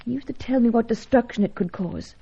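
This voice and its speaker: An actress playing a gentle, helpless young woman in an old-time radio adventure serial, earnest, tremulous and polite. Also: on the high side, brisk, creaky, breathy edge. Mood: earnest, wistful. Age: young adult. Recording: dull, lo-fi radio serial recording.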